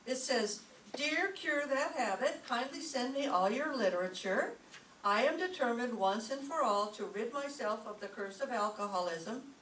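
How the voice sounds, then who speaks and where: A woman playing a wry, wisecracking high school teacher in an old-time radio comedy. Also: expressive, moderate pace, fairly bright tone. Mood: dry, evasive. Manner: dry, wisecracking. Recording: echoey stage, distant mic, faint noise.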